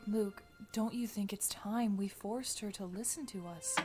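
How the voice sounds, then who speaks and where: A woman's voice: mid-range voice, steady tone, measured pace, lightly acted. A woman acting in an audio drama as a troubled, guilt-ridden mother pleading with her partner. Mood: urgent, insistent. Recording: music behind the dialogue.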